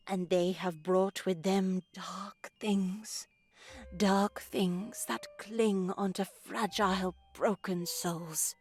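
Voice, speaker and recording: A woman playing a stern, pious older nun in a horror game, preachy and condescending with quiet menace. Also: lowish voice, no echo. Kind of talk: dramatic visual novel voice acting.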